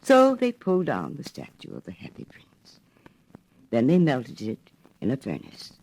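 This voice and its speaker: Female storyteller for a literary fairy tale: measured, dramatic, very varied intonation. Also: very deep voice, breathy edge, poor audio. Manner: expressive, measured delivery.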